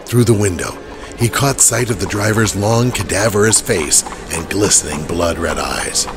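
A man's voice: lowish voice, measured pace, over music. A man narrating a horror audio drama in a dramatic, scripted storytelling style. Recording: clear horse and carriage ambience behind.

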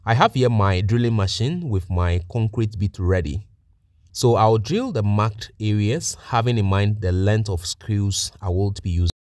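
Guy with a lowish voice walking thru a job step by step, methodical, dry close sound. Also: lively intonation, unhurried, a little mellow, dry recording. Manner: methodical, plain-spoken. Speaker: technician presenting a how-to tutorial. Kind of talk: step-by-step instructional narration.

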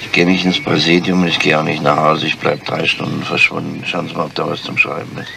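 An actor playing a dogged, weary police inspector in a crime radio play, speaking in a gruff, terse manner. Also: male voice, rumbling low, completely flat delivery, a little fast, exaggerated voice. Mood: weary, businesslike. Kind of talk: crime radio drama acting. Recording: noisy old radio play.

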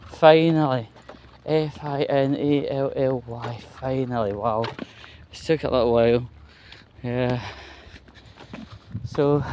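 A man talks slowly in a fairly high voice, rambling and grumbling wearily with the odd swear word. He is recording a casual vlog on his phone while walking, with faint street and traffic noise around him. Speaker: vlogger. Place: walking outdoors along a residential street. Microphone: handheld phone. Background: street ambience, passing vehicles.

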